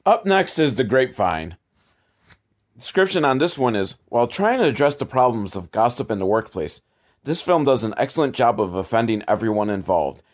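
A man's voice: mid-range voice, sing-song, normal speed. Casual, sarcastic man giving commentary. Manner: sarcastic, profane. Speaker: host reviewing old educational films.

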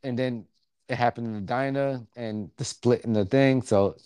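A man's voice: medium pitch, moderate pace, clear, resonant tone, no room sound. A man co-hosting a podcast, talking in a casual, profane, slangy way and telling things bluntly and with animation. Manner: blunt, animated storytelling with slangy colloquial grammar. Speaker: podcast co-host reviewing a horror film.

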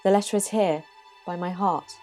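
A woman's voice: fairly low pitch, fairly even intonation, normal speed, darkish tone, close to an everyday voice. An actress playing a proud, defiant and indignant queen, regal and formal, in an audio drama. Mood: guarded, proud. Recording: music behind the voice.

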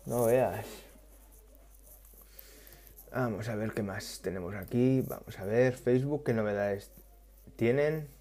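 A man chatting casually about tech news, halting often and correcting himself as he goes. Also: mid-range voice, warm tone. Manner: halting, restarts and self-corrections, musing. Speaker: tech vlogger.

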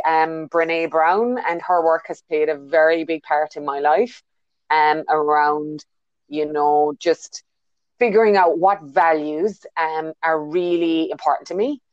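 A woman's voice: low-pitched, dry recording, highs cut off. An Irish woman in her thirties, a consultant being interviewed from her bedroom over a call, thoughtful and full of fillers and restarts. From Ireland. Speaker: guest, business consultant. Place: bedroom at home.

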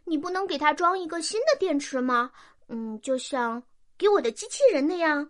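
Casting: woman as small radio-drama child, curious and anxious, very high-pitched, bright voice. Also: animated, normal speed. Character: anxious, curious young child pleading with father. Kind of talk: Chinese science-fiction radio drama acting.

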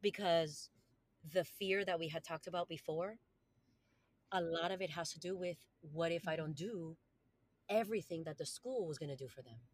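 Podcast guest: woman from Colombia, Spanish first language, lowish voice, dry close sound, earnest and emphatic. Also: moderate pace, breathy edge, no room sound. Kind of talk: podcast interview.

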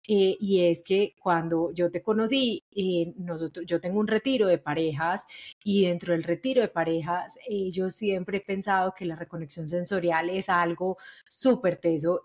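A Colombian woman hosting a podcast in a casual interview style, talking in a chatty, colloquial and emphatic way. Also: on the low side. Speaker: podcast host.

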